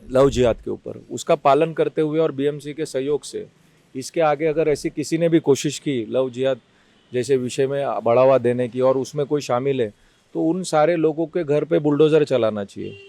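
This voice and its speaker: Grave male councillor with a mellow voice. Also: medium pitch, normal speed, vocal fry, no echo. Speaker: city councillor being interviewed. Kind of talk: serious political interview answers.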